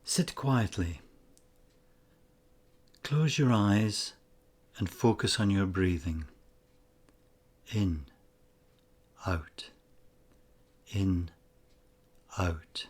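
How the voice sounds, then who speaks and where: Man, lowish pitch, guided meditation narration, scripted, measured instructional phrasing, pauses between lines. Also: husky.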